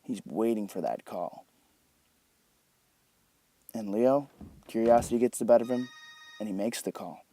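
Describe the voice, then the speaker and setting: A man's voice: on the low side, unhurried, long pauses, mellow, a little hiss or hum. A man giving enthusiastic film scene commentary as a host, with emphatic, dramatic phrasing.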